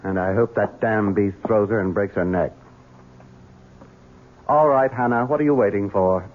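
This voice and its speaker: An actor playing a bitter, scheming husband who blusters, in old radio-drama style. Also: male voice, fairly low pitch, normal speed, close to an everyday voice. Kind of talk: 1970s radio drama acting. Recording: tinny old radio broadcast.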